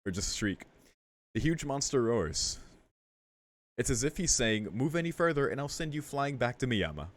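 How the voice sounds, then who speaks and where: A man's voice: medium pitch, big pitch swings, normal speed. A man hosting a game playthrough, talking in a slangy, jokey way and reading the game's text aloud. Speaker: gaming video host. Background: game music.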